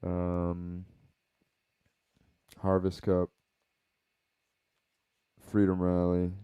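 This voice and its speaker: Male podcast host, deep voice, relaxed rambling delivery kept low, dry close sound. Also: very slow, smooth, no echo. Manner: rambling, laid-back, talking low. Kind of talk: casual reflective chat. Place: home, baby sleeping nearby.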